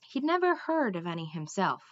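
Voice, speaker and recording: A woman's voice: mid-range voice, very animated, moderate pace, dry recording, dull audio. A young adult woman narrating a children's storybook expressively, like a teacher reading aloud. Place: small room indoors at home.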